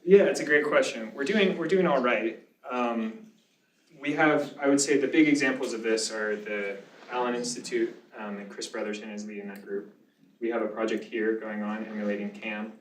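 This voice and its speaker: Low-voiced man in a roomy, distant recording. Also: moderate pace. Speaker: lecturer, speaker answering questions. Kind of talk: informal question-and-answer after a talk. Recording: roomy lecture sound, distant mic, faint noise.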